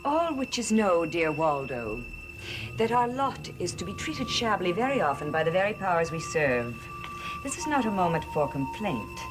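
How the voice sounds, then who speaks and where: An actress playing an imperious witch high priestess of a devilish cult in a gothic soap opera, solemn, commanding and incantatory. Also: big pitch swings, moderate pace, over music, poor audio.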